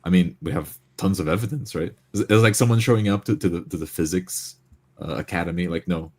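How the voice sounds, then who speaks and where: A man's voice: low-pitched, brisk. A man in casual discussion, reasoning analytically and hesitantly as he searches for his words.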